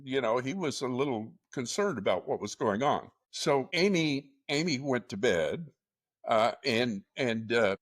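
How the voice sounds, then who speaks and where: A man with a low-pitched voice hosting a true crime podcast, retelling events in a measured, halting way with many fillers. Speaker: podcast host.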